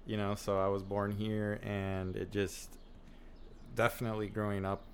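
A man's voice: on the low side, little pitch movement, moderate pace, a little hiss or hum. A man hosting a podcast, a native Albanian speaker raised in the United States, chatting casually and affirming his guest often. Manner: easygoing, affirming listener with frequent backchannels. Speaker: podcast host. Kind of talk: casual conversation.

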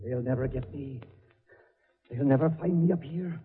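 An actor playing a murderous, frightened old theater villain with a husky, strained voice in an old radio melodrama. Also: male voice, mid-range voice, normal speed. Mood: fearful defiance. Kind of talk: 1940s radio melodrama acting. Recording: tinny old radio drama recording.

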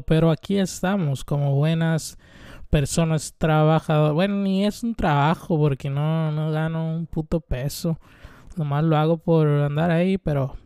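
A man's voice: on the high side, warm tone, clean tone, dry recording, clean studio sound. Rambling, swearing young Mexican man. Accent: Mexican Spanish. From Mexico (Veracruz). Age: 20s. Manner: rambling, irreverent, swears freely, many fillers. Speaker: podcast host. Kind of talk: casual solo monologue.

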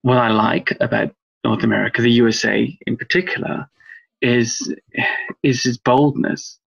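Gravelly husky man, from Wales. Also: medium pitch, fairly even intonation, normal speed, a bit bright, lo-fi sound. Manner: rambling, thoughtful, many fillers. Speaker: guest researcher. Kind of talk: podcast interview over a call.

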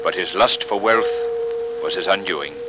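A man playing a stern, righteous crime fighter who speaks deliberately and declaims in 1930s radio drama style. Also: sits low, natural voice. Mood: grave, moralizing. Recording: noisy vintage 1930s radio recording.

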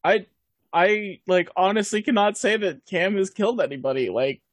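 A woman's voice: mid-range voice, lively intonation, moderate pace. A woman taking part in a tabletop roleplaying game with casual banter.

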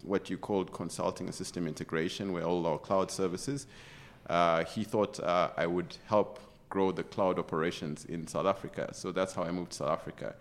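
A man's voice: low voice, fairly even intonation, normal speed. A middle-aged man from Uganda, an executive guest speaking earnestly and passionately in an interview, often restarting his sentences. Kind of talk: conversational interview.

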